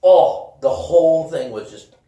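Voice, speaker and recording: A man's voice: fairly high pitch, measured pace, warm tone. A man chatting casually about games and movies as a podcast co-host, in a rambling, loosely phrased way. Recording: echoey room, distant from the mic.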